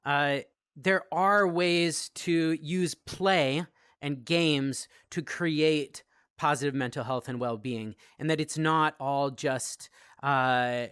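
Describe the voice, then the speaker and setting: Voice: medium pitch, a bit bright, clean tone, dry recording, studio quality. A talk show host presenting in a playful way, with theatrical announcer flourishes.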